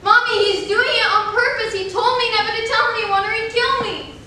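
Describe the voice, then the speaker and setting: A woman's voice: steady tone, a little fast, bright, forceful tone. A young woman playing a desperate, pleading child, with urgent dramatic delivery in a stage reading. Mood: frightened insistence. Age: young adult. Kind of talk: competitive reader's theater performance. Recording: echoey room, distant mic, rumbling noise.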